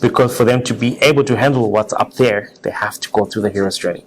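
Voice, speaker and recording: Male interview guest, lower-pitched, earnest conversational storyteller. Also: fast, low-quality recording. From Zimbabwe. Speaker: interview guest, car designer.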